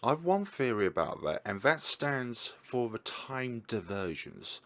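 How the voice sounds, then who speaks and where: A young man chatting casually as he plays a story game and thinks aloud with excitement. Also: medium pitch, a little slow. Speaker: gaming YouTuber. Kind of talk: casual let's-play commentary.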